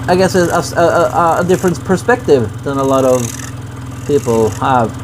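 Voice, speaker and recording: A man from Norway with a Norwegian accent, chatting casually on a live stream in halting English full of fillers and restarts. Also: fairly high pitch, animated, noisy background. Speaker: woodworking streamer.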